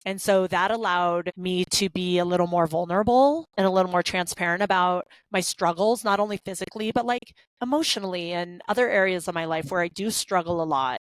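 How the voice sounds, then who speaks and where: A woman's voice: lowish voice, fairly quick, few pauses, dry recording, rough recording. Chatty woman co-hosting a podcast. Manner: chatty, candid, many fillers and restarts. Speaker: podcast co-host. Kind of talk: casual podcast conversation.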